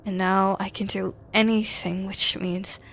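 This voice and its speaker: A woman's voice: medium pitch, level tone, measured pace, a bit bright, slight background noise. A woman game streamer, moving between reading game narration aloud and casual live chat.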